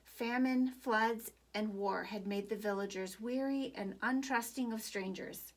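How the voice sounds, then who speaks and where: A woman narrating a picture book for children at storytime, deliberate and expressive, pausing between phrases. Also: fairly high pitch, poor audio.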